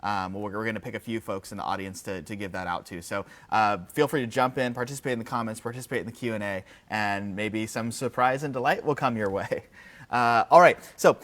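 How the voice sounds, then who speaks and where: A man's voice: lowish voice, brisk, a bit bright. Casual man hosting a livestream. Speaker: livestream host presenting product updates. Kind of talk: casual live product presentation.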